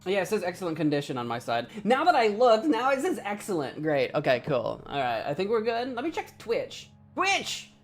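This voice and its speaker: A woman streaming a game, chatting casually, cracking jokes, laughing often and thinking out loud. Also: medium pitch, huge pitch swings, moderate pace, few pauses. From United States. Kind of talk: casual live-stream commentary.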